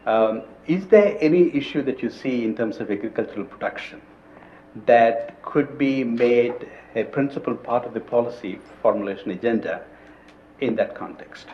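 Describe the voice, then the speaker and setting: A man's voice: mid-range voice, moderate pace. Man, moderator, polite and directing, dull audio, faint room noise. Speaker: session moderator. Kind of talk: moderating a panel. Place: conference panel.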